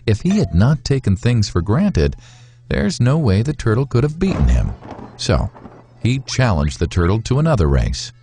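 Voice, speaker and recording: A man narrating a scripted moral fable in a measured, instructive way, with deliberate phrasing. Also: lowish voice, very animated, dark tone, faint music behind. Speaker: storyteller, narrator.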